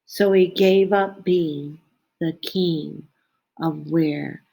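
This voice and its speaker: A woman reading a children's picture book aloud in a dramatic, expressive way, stretching out phrases and dropping into hushed tones. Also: deep voice, drawn-out words, gravelly, slightly rough. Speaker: storybook reader.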